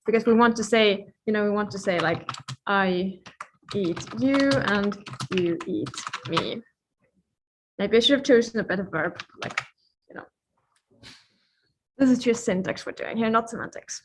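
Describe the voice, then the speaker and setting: A woman's voice: medium pitch, slight background noise, rough recording. Finnish woman lecturing informally, thinking aloud. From Finland. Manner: informal, playful asides, often pausing mid-thought.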